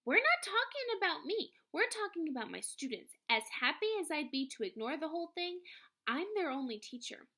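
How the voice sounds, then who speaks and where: A woman voicing a weary male schoolteacher in a storybook, sharp and stubborn as he defends his students. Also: high voice, big pitch swings, fairly quick, voice pushed up, full character voice. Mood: indignant. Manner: sharp, insistent.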